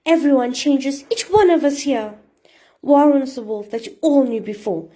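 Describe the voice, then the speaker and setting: A woman's voice: sits high, moderate pace, dark tone, pitched above the performer's own voice. A woman voicing a protective wolf parent, earnest and reassuring, in a children's storybook tale.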